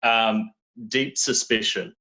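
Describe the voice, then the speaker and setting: A young Australian man with an Australian accent, telling his story in a relaxed, casual interview, often restarting his sentences. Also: fairly low pitch, slow, noisy background. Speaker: guest.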